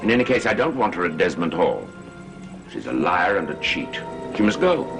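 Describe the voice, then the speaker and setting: An actor playing a sinister, scheming cult man in a gothic soap opera, with measured theatrical speech. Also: deep voice, sing-song, vocal fry, over music, full character voice. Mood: dismissive, sardonic. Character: scheming male cult member plotting with a coven.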